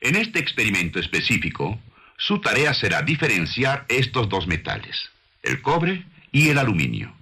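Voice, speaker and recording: A man narrating a scripted science documentary in a measured, formal, didactic manner on an old soundtrack. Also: medium pitch, huge pitch swings, sharp tone, slightly rough.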